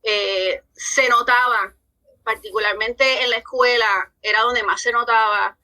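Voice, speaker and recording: A woman with a Puerto Rican accent, a social worker guest talking about her life in a podcast interview, earnest and measured, with frequent "eh" pauses. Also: a little high, fairly even intonation, sharp tone, low-quality recording. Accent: Puerto Rican Spanish. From born in New York, raised from age eleven in Puerto Rico.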